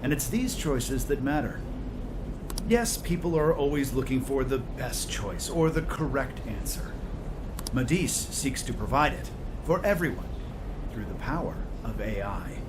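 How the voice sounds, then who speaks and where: Male actor as confident CEO, composed lecturer, middle pitch, video game keynote, noticeable background noise. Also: lively intonation, a little slow, lightly acted. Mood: composed, persuasive. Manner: composed, confident, clearly stated.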